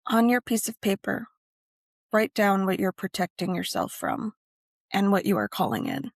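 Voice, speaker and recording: A woman narrating a scripted guided meditation and ritual in measured, deliberate phrases with frequent pauses. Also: medium pitch, big pitch swings, dry recording, clean studio sound.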